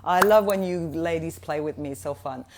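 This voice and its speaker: A woman with a French accent speaks to an online audience in a casual, motivational way. Her voice sits a little low, and she gushes with enthusiasm, often stopping and restarting mid-sentence. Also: moderate pace, darkish tone, a little hiss or hum. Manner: gushing and effusive, with frequent restarts. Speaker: guest speaker and mentor.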